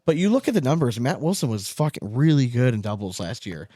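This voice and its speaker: A man's voice: medium pitch, very animated, a little fast, warm tone, no echo. Casual, emphatic man chatting, dry close sound. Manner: informal, swearing, emphatic. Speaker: podcast host. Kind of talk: casual sports chat about cornhole.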